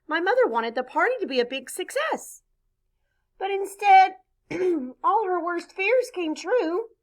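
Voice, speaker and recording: A woman reading a children's story aloud expressively, pausing to chat with her listeners. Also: very high-pitched. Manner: expressive, pauses to point things out and ask questions. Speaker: storybook reader.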